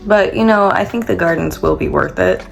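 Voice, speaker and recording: A woman's voice: medium pitch, moderate pace, vocal fry. A woman vlogging in a casual, rambling way, stuffy and congested, with lots of fillers as she chats to the camera. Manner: rambling and chatty, many fillers. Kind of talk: casual travel vlog chat. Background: loud background music.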